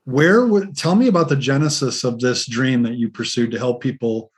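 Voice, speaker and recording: A man's voice: mid-range voice, moderate pace. Encouraging male podcast host. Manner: enthusiastic, encouraging, with many fillers. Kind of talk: interview podcast.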